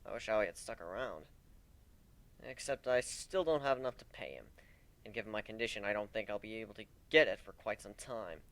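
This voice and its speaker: A man's voice: fairly low pitch, fairly quick, no room sound, pitched below the performer's own voice. A man voicing an embittered, hot-tempered male griffin captain in a story, with emotional delivery. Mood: weary frustration. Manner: emotional, swings from muttering to shouting.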